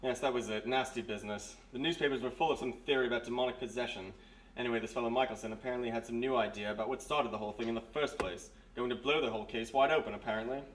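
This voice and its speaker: A man's voice: mid-range voice, rapid-fire, slight background noise, close to an everyday voice. A man playing a stern, dry army major with clipped, formal speech in a live radio mystery. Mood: brisk, matter-of-fact. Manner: clipped, formal, matter-of-fact. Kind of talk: live old-time radio mystery acting. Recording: roomy live stage, distant mic.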